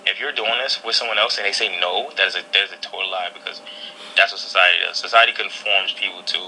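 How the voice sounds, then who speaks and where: Very deep, bright-toned man listing points. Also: quick pace, slight background noise, low-quality recording. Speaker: interviewee. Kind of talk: casual interview answers.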